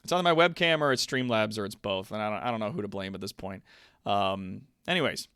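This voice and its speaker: A man's voice: on the low side, expressive, fast talker, fairly bright tone, clean tone, no room sound, studio quality. A man hosting a livestream, explaining things casually and playfully with some hesitation. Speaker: livestream host.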